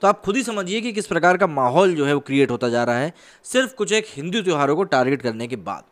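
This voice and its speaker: Higher-pitched man presenting news. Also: sing-song, fast talker. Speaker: YouTube news host and commentator. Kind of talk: news commentary, reading reports to camera.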